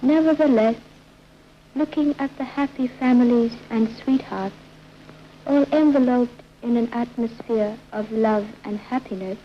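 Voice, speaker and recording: A woman's voice: on the high side, a little slow, close to an everyday voice. A woman reading a sentimental letter as scripted 1940s propaganda film narration, on a muffled, noisy old soundtrack. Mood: wistful.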